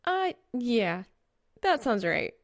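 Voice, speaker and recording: A woman's voice: a little high, very animated, slow, pitched above the performer's own voice, exaggerated voice. A woman voicing a bold young female gamer, blunt, amused and teasing, in an audiobook story. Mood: amused, curious.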